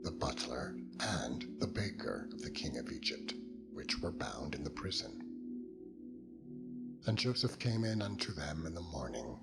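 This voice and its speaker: A man giving a solemn, measured, declamatory dramatized narration of scripture over meditation music. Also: rumbling low, gravelly. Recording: loud meditation music under voice.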